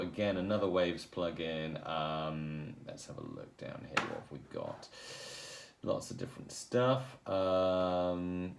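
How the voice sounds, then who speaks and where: A man giving a casual, hands-on audio software tutorial, explaining informally step by step as he works. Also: sits low, vocal fry, slight background noise.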